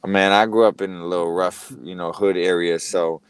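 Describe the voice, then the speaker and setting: A man from Connecticut, a fighter being interviewed, talking in a relaxed, casual way and leaning on "you know" a lot. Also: deep voice, moderate pace, clean tone, no room sound. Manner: laid-back, peppers speech with "you know". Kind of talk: casual conversation. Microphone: headphones on a remote call.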